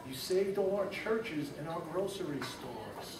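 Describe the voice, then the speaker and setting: A man voicing a tiny, soft-spoken male town mayor who talks in a hushed, polite and grateful way. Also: on the high side, expressive, a little slow. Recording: roomy, distant mic, background noise.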